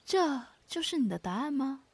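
A woman's voice: high-pitched, sing-song, moderate pace. A woman voicing a haughty, menacing old witch in an audio drama. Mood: incredulous, stern.

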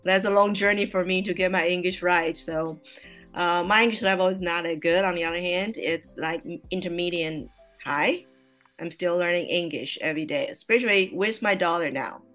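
A woman's voice: lowish voice, moderate pace, fairly bright tone, faint music behind. A middle-aged woman from Taiwan with a Taiwanese Mandarin accent, a native Mandarin speaker, chatting casually as a podcast guest, laughing often and correcting herself. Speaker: guest, Mandarin teacher and mother.

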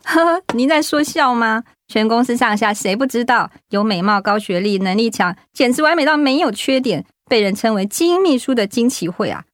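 Woman acting excitable gullible young office girl, highish voice, jumps around loads, radio drama with big reactions. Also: normal speed, hardly any pauses, dry recording, close to an everyday voice. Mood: eager, astonished. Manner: exclaiming, exaggerated reactions. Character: excitable, gullible young female office worker hungry for gossip.

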